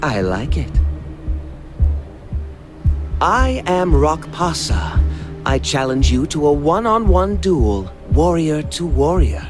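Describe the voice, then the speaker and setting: An actor playing a haughty, boastful magic beast master who loves battle, in a game cutscene. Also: male voice, a little high, big pitch swings. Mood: haughty, amused. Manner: boastful, slow and deliberate. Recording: music behind the voice.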